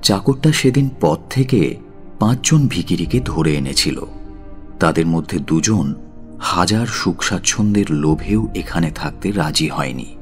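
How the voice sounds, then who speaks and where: A man's voice: low-pitched, animated, measured pace, soft background music. A man narrating a literary audio story in a dramatic, scripted style.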